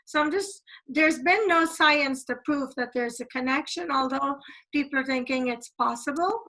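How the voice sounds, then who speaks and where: A woman's voice: sits high, bright, forceful tone, poor audio. A woman from Guyana hosting an interview over a video call, asking questions in a chatty, earnest way.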